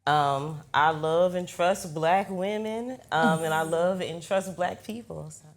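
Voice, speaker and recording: A woman's voice: lowish voice, slow. A woman from New Jersey introducing herself casually as a panelist. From Trenton, New Jersey. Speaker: panelist, research analyst.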